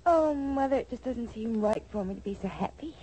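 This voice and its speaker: An actress playing a devoted, trusting young grown daughter in a 1940s radio soap, earnest and affectionate. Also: female voice, a little high, expressive, fairly quick, mellow, slight background noise, close to an everyday voice, rough recording. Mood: blissful.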